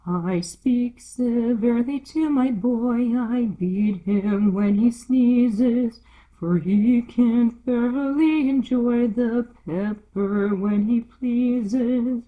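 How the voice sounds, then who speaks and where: Storybook Duchess, played by a female reader: bossy, scornful, raised pitch, hoarse growl, slow emphatic bite. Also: phone-quality sound. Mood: harsh sing-song. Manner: curt, loud, drawn-out emphatic delivery. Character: rude, violent, bossy Duchess, snapping at everyone.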